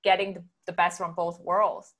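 A woman from Singapore chatting casually in an agreeable, measured way. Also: lowish voice, little pitch movement, a bit bright. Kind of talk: casual chat.

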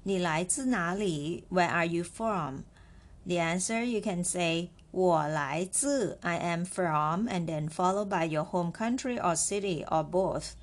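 A woman teaching a language lesson, slowly repeating each word for listeners to practice. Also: on the low side. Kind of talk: slow lesson with drills.

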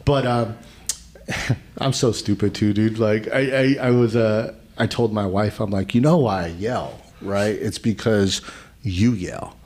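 A man's voice: on the low side, steady tone, unhurried, a little mellow. A man in his forties talking candidly and casually in slangy, confessional podcast conversation. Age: 40s.

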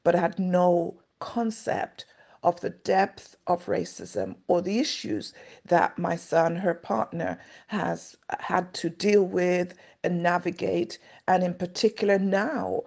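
An older woman, a grandmother, speaking slowly and earnestly as an interview guest. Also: lowish voice, gravelly, darkish tone. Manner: slow, measured, earnest. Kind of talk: reflective interview answer.

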